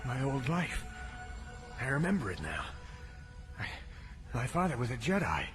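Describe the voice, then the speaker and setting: An actor playing an anguished, proud fallen Dark Jedi in a melodramatic audio drama, intense and dramatic in delivery. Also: male voice, mid-range voice, sing-song, normal speed, faint music behind. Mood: shaken, grave. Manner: intense, dramatic outbursts and broken phrases.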